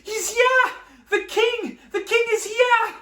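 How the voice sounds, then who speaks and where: A woman telling a story to children in a dramatic, expressive way, with simple explanations. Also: very high voice, takes it slowly. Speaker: storyteller for a children's church show. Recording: echoey room, slightly distant mic.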